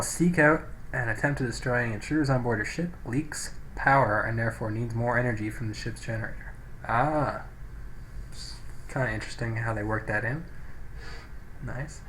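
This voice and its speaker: A man giving casual, sweary video game commentary as a let's play host, thinking out loud, recorded on a poor-quality microphone. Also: mid-range voice. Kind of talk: casual gameplay commentary with read-out game text. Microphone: low-quality home microphone. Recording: noisy cheap microphone, poor audio.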